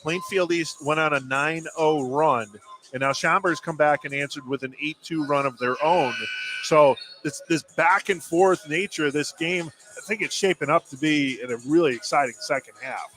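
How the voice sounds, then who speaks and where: A man's voice: a little high, moderate pace, fairly bright tone, soft background music. An American man giving conversational color commentary during a live basketball broadcast.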